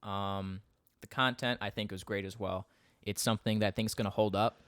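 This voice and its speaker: A young man chatting casually as a podcast co-host, rambling quickly and laughing often. His voice sits a little low, recorded dry and close on a studio mic. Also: fairly even intonation, a bit bright, clean tone, dry recording. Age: young adult. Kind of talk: casual chat.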